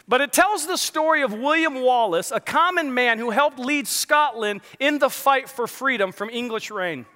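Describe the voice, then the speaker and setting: A man's voice: very high voice, pushed and bright, long reverb tail. A man preaching as lead pastor, joking with the congregation and asking them questions. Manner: jokey, conversational, asks the crowd questions. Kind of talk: sermon with audience banter. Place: large church hall.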